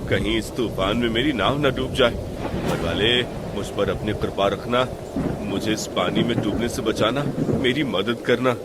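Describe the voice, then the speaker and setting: Low-voiced, weary poor fisherman played by a man. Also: a little slow, heavy background noise, close to an everyday voice. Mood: fearful, pleading. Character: poor, weary, God-fearing fisherman husband. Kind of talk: cartoon moral story dialogue. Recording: loud music bed behind voice. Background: music.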